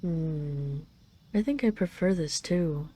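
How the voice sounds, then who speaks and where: Mellow, low-voiced dragon queen in love, acted by a woman. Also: slow. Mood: tender, confiding. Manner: teasing, tender, lingering delivery. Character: possessive, teasing, doting dragon queen in love. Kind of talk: intimate roleplay audio acting.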